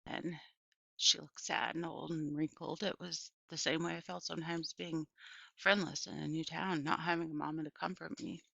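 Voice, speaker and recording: A woman reading a children's novel aloud as narrator, with expressive storybook delivery. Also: low-pitched, normal speed, vocal fry, no room sound, lo-fi sound. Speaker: audiobook reader.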